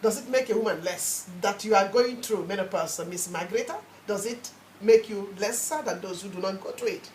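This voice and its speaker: A woman hosts a radio discussion, brisk and probing, stacking question upon question to draw out her guests. Her voice sits in a mid range and carries a roomy studio echo. Faint hiss lies beneath it. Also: mid-range voice, darkish tone. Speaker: radio show host. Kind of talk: hosting a radio panel.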